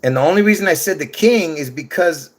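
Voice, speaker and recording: A man's voice: fairly high pitch, lively intonation, fairly quick. A man from Lebanon giving a rambling monologue to his viewers, restarting sentences often and addressing them directly. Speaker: conspiracy video creator. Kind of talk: rambling casual monologue to camera.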